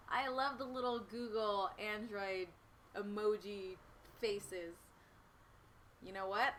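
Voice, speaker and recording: A woman with a slightly raspy voice chatting casually and playfully in slang as a live stream host, full of exclamations. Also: medium pitch, a bit bright, slight background noise. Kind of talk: casual livestream chat.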